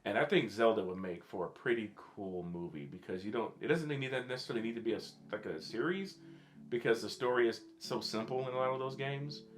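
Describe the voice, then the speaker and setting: A man's voice: mid-range voice, fairly quick. A man co-hosting a podcast, talking casually in a rambling, informal way with enthusiasm for games. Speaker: podcast co-host.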